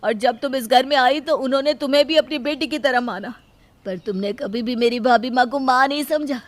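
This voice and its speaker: A woman's voice: high voice, brisk, dry recording, lightly acted. A woman voicing an indignant young husband in a story, fiercely loyal, scolding and emphatic. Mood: indignant, emotional.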